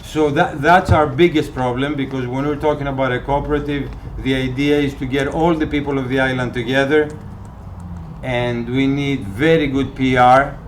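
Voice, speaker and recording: A man's voice: mid-range voice, fairly even intonation, moderate pace. This is a Greek-accented man who speaks English as a second language. He talks in a dry, joking way and mixes in a few laughs. A faint hum of background noise sits behind his voice. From Greece. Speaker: island cooperative member. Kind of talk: documentary interview.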